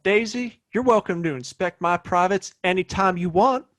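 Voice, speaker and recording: A man's voice: high-pitched, normal speed, a bit bright. An American man acting a cocky, hot-tempered gunslinging bully in a western audio play, with a blustering, folksy way of talking. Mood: cocky, belligerent. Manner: blustering, folksy drawling insults.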